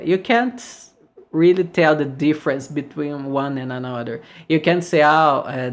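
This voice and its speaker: A man from Brazil with a Brazilian Portuguese accent, a guest guitarist speaking English in a casual podcast interview and searching for his words. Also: on the high side. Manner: halting, searching for words with many restarts.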